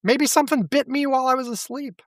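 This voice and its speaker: A man co-hosting a casual podcast chat, speaking with a scratchy throat and stuffy head, rambling and halting. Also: very high-pitched, no echo, clean studio sound. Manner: rambling, halting, self-deprecating. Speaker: podcast co-host.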